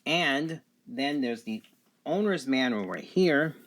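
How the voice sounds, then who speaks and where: A man giving an unscripted product review, going item by item in a matter-of-fact, halting way. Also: mid-range voice, lively intonation, a bit bright.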